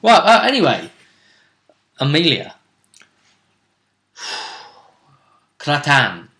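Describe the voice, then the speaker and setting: A man hosting a tabletop role-play show, casually recapping the action in a jokey, theatrical way with drawn-out emphasis. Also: on the high side, wildly swooping pitch, pushed and bright. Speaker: game master and host.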